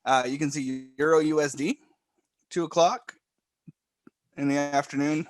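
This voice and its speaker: A man calmly walking viewers step by step through charts and trading software on a live stream. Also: a little high, measured pace, no room sound. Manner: calm, explanatory, step-by-step. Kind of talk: live screen-share trading walkthrough.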